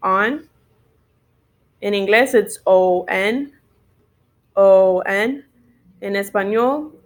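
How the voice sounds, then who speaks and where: Slow, spelling woman switching Spanish and English. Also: medium pitch. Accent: Spanish-speaking learner of English. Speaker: teacher of English sight words. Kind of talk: bilingual spelling lesson.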